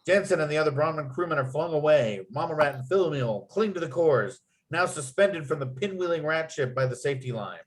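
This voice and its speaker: A man's voice: a little high, expressive, fairly quick, few pauses, slight background noise, low-quality recording. A man reading screenplay stage directions as the narrator of a table read.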